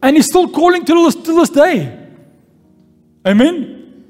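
A man's voice: extremely high pitch, sing-song, takes it slowly, darkish tone. A man pastor preaching a sermon and reading scripture, calling out "Amen?" to the congregation and repeating key phrases. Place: church hall. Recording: long church-hall reverb with faint music.

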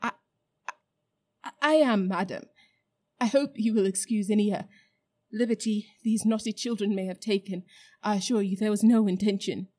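A nervous old gentleman magician, as a woman reading a storybook plays him, gasping and stammering through his flattery. His voice sits a little higher and louder than the narration, tripping over its own polite phrases. The tone stays soft and mellow. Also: moderate pace, natural voice. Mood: flustered. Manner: stammering, flustered, overly polite.

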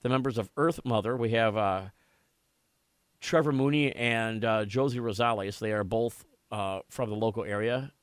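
American male talk radio host, lower-pitched voice, close dry studio sound. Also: normal speed, smooth, no echo. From United States. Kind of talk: live talk radio, discussion and guest interview.

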